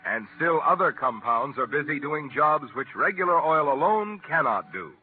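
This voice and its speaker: A man's voice: fairly high pitch, very animated, moderate pace. A man announcing on a 1940s radio show, reading scripted sponsor copy and credits. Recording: muffled vintage 1940s radio broadcast.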